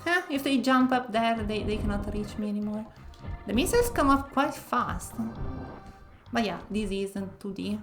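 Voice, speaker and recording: A woman from northern Italy with an Italian accent, speaking English as a second language, chatting casually while streaming a game and often pausing to search for words. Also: medium pitch, music in the background. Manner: soft-spoken, searching for words with many pauses. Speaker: variety streamer. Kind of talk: casual gameplay commentary.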